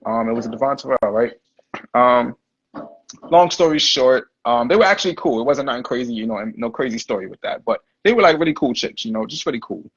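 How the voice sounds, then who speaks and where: A man's voice: mid-range voice, expressive, fast, husky, muffled recording. A man hosting a livestream podcast, telling stories in a laid-back, slangy way full of "like" and "you know". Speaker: podcast host. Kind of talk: casual livestream interview storytelling.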